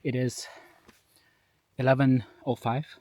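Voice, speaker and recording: A man's voice: medium pitch, flat delivery, slow, dark tone, no room sound. A man recording himself while hiking alone outdoors, sounding nasally and a bit tired, talking in a rambling, self-mocking way. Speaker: solo hiker vlogging. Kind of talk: casual self-recorded trail diary. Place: outdoors on a forest trail in rain. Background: stream and forest ambience.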